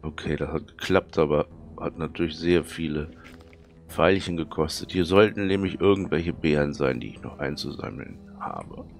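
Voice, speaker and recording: A man with a cold and a hoarse, thick voice, casually commenting on his gameplay and muttering to himself as he plays. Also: very deep voice, normal speed, faint music behind. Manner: chatty, muttering to himself while playing. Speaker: let's play commentator.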